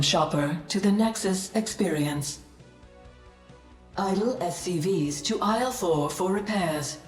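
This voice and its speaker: An actress voicing a composed military computer adjutant, making formal, dutiful announcements in a video game. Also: female voice, fairly low pitch, natural voice. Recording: echoey, with faint music behind.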